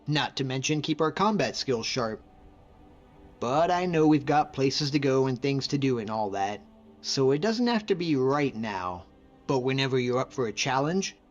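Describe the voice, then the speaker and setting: A man plays a young Nordic hunter from a fantasy video game, loyal and devout. He talks in an earnest, informal way at a medium pitch. The sound is slightly muffled, with a long reverb and music behind him. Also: close to an everyday voice. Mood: friendly, earnest. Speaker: video game follower character. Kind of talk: conversational game character voice acting.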